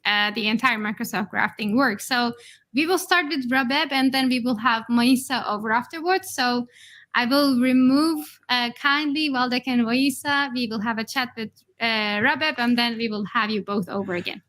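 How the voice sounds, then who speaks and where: A woman's voice: on the high side, moderate pace, a bit bright. A woman whose first language is Turkish, hosting a livestream in an upbeat way and laughing often. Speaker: host.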